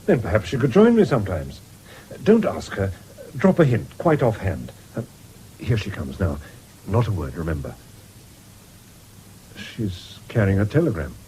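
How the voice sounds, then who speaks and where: An actor in a 1970s radio drama playing a genteel, considerate middle-aged country doctor. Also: male voice, lowish voice, very animated, normal speed, pauses often, warm tone. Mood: eager, discreet. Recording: noisy old radio drama recording.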